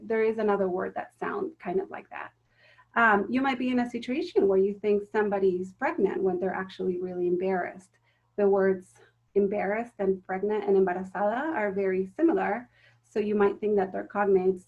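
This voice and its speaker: A woman's voice: medium pitch, gravelly, narrow, tinny audio. A woman giving a recorded talk to teachers, explaining step by step with frequent ums and self-corrections. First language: Spanish. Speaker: teacher trainer.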